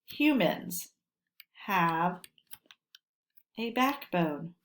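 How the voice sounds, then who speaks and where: A woman teacher reading a lesson aloud to children, speaking deliberately and instructively and stressing key words. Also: lowish voice, animated, a bit bright. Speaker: elementary school teacher.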